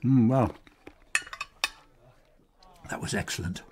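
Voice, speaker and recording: An actor with an English accent playing a loyal, sceptical gentleman doctor in a radio drama, thoughtful and a little grumbling. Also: medium pitch, takes it slowly, noisy background, natural voice. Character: loyal older gentleman doctor, sceptical, plain-spoken companion. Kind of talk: BBC radio drama acting.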